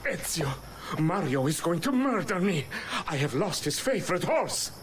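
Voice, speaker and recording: Brief: tired, thankful older Italian horseman, animated, rather high voice, game dialogue. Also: moderate pace. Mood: fretful. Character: weary, grateful older Italian stable hand, fretful. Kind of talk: video game cutscene acting. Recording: music behind game dialogue.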